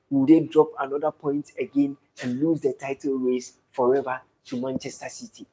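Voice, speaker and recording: A man's voice: on the high side, gravelly, mellow, slightly rough, low-quality recording. A man with a Ghanaian accent hosting a casual football live stream, effusively thanking viewers and declaiming sports talk.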